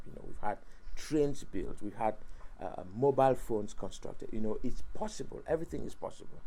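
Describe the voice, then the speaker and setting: A man's voice: medium pitch, vocal fry, warm tone, slightly rough, rough recording. A middle-aged man from Cameroon whose first language is French, giving preachy, motivational answers in an interview, often repeating words and laughing at his own jokes. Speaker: interview guest, YouTuber and preacher.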